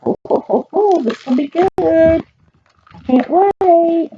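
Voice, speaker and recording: A woman with a Southern US accent reading picture books aloud to children in an animated, expressive way, adding chatty asides. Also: very high voice, takes it slowly, lo-fi sound, slight background noise. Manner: animated, expressive, talks directly to young listeners.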